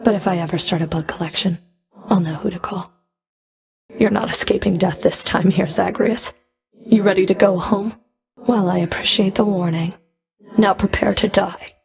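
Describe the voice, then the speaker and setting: A woman's voice: mid-range voice, steady tone, normal speed, creaky, pauses often, a little mellow, close to an everyday voice. A woman playing a stern, scornful underworld fury in a video game, with a cold, sardonic, taunting delivery. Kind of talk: scripted video game character dialogue.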